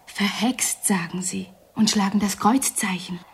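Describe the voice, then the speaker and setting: An actress playing a superstitious, fearful village woman in a 1970s radio play, telling things in an ominous, hushed, dramatic way. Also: mid-range voice, unhurried, slightly rough. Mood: fearful warning.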